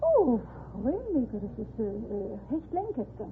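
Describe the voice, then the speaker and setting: A woman with a German accent, a native German speaker, answering as a quiz contestant in halting, broken English. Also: on the high side, sing-song. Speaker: quiz contestant, stage and film actress. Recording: narrow, noisy vintage radio, studio audience.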